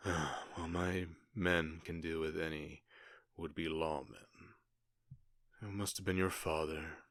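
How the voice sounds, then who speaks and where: A man playing a smug, cruel outlaw gang boss in an ASMR roleplay, taunting with theatrical pauses and mock-polite menace. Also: low-pitched, a bit bright, no room sound, clean studio sound, heavily acted. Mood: coolly threatening.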